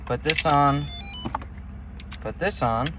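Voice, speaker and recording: Clear vehicle noise sits behind this man's voice. He gives a casual, hands-on walkthrough, explaining each step as a helper in a demonstration. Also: a little high, slow pace. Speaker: demonstrator helping the host. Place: in or beside a vehicle.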